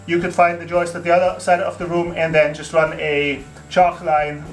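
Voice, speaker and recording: A man's voice: fairly high pitch, monotone, normal speed, soft background music, rough recording. A man in his thirties hosting a home renovation tutorial, explaining steps casually with fillers and restarts in an empty wooden attic room.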